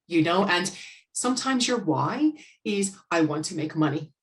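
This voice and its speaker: A woman's voice: fairly low pitch, expressive. An outgoing American woman, a guest expert, chatting casually about business and restarting her sentences often. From United States. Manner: outgoing, speaks in quick bursts with restarts.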